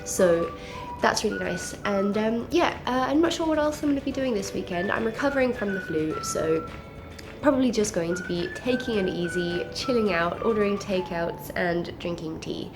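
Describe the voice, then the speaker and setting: A woman's voice: mid-range voice, animated, normal speed, over music. A woman with a mixed South African and English accent, stuffy and nasal from a cold, chatting enthusiastically about books to camera. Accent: mix of South African and English. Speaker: book vlogger. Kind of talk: casual book chat to camera.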